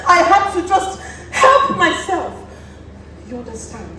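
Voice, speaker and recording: A woman's voice: extremely high pitch, very animated, takes it slowly, pushed and bright, full character voice. A Nigerian woman acting an anguished, defensive woman in a stage play, speaking in an emphatic, declamatory way. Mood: desperate, pleading. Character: anguished, defensive woman pleading her case. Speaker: student stage actor. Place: theatre stage, recorded from a distance. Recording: echoey stage hall, distant mic, faint noise.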